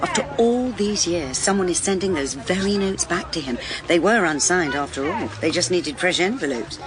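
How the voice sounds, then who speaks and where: Actress as a low-voiced, composed British woman detective. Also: normal speed, crowd noise, natural voice. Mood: brisk, reasoning. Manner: calm, reassuring, dry. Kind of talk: radio drama acting.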